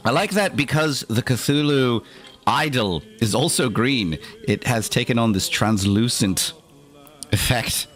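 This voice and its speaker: Slow theatrical man with a put-on accent. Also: mid-range voice, fairly bright tone, faint music behind. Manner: dry, opinionated asides. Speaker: streamer host. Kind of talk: in-character livestream chat.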